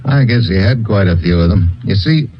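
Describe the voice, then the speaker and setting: Casting: a blunt, low-voiced agent, old-time radio play. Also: male voice, lively intonation, normal speed. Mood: businesslike. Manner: brash, wisecracking and blunt. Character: tough American male government agent. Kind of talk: 1950s radio drama acting. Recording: dull lo-fi vintage radio recording.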